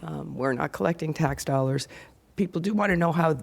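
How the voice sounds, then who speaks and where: A man speaking as a county commissioner in a public meeting, hoarse and losing his voice, raising points aloud in a conversational way into a desk microphone. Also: fairly high pitch, brisk. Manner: gravelly, conversational, thinking aloud. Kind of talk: formal public meeting remarks.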